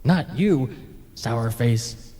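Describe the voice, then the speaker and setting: A weary, grumbling male ghost, played by a man in a radio play, fed up with haunting. The voice is soft-edged and mellow, sardonic and put-upon, washed in long reverb on a broadcast tape. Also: medium pitch, expressive, drawn-out words. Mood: irritated, defiant. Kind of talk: old-time radio play acting.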